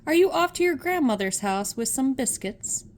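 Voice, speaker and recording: A woman's voice: high voice, animated, normal speed, a little hiss or hum, voice pushed up. A woman voicing a small, kindly storybook mouse, friendly and reassuring.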